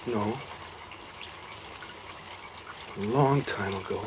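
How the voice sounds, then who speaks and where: An actor playing a brooding, menacing escaped killer with clipped, quietly threatening delivery in 1940s radio style. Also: male voice, lowish voice, moderate pace, lightly acted. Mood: cold, calm. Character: brooding, menacing escaped madman who killed his wife. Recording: dull, noisy 1940s radio recording.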